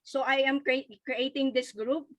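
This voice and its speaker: Filipino lady doing a motivational pitch, high forceful voice, super fervent, repeats herself. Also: steady tone, unhurried. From Philippines. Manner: fervent, emphatic, repetitive. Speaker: network marketing leader and guest speaker. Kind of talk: motivational testimonial.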